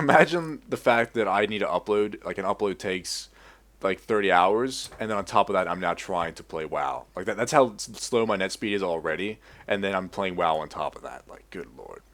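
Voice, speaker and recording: A man's voice: deep voice, fairly bright tone. A young man from Australia with an American accent, rambling casually and swearing freely while commenting on his gameplay. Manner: rambling, profane, halting restarts. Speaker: gaming YouTuber.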